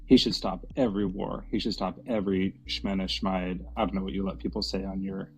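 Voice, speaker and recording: Low male debater, loose and joking, filler-heavy. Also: flat delivery, brisk, a little mellow, poor audio. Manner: rambling, joking, many "like"s. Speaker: debate guest. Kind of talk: casual online debate.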